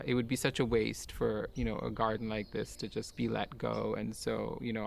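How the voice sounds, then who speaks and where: A man who grew up in Kuwait, a garden owner and artist, talking in a soft-spoken, musing way with light laughs. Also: on the low side, gravelly. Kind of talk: reflective personal talk for a garden video.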